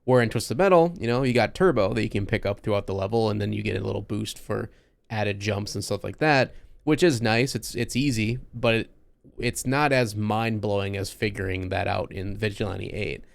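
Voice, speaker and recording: A man's voice: fairly low pitch, moderate pace, vocal fry, dry recording. A man hosting a casual podcast chat, talking enthusiastically and rambling a little with restarts. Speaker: podcast host.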